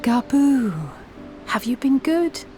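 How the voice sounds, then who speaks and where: An actress voicing a cheerful, kindly young woman in a video game, speaking brightly. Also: high-pitched, sing-song, slow, faint music behind, heavily acted. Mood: gentle.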